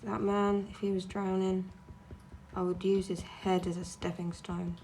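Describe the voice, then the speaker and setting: A woman's voice: mid-range voice, little pitch movement, measured pace, a little mellow, slight background noise. A young woman streaming while she draws, chatting casually in a blunt, sweary, ranting way. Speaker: streamer and artist.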